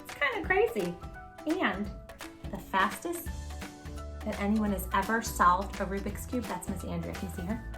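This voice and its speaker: A woman hosting a children's read-aloud, expressive and encouraging, emphasising words and chatting directly to young listeners. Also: mid-range voice, moderate pace, over music. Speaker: storybook reader, channel host.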